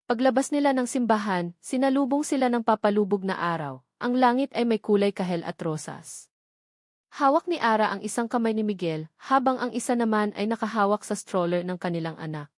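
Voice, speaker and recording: A woman's voice: mid-range voice, animated, brisk, dry recording, clean studio sound. A woman with a Filipino accent reading scripted dramatic narration as an audiobook storyteller.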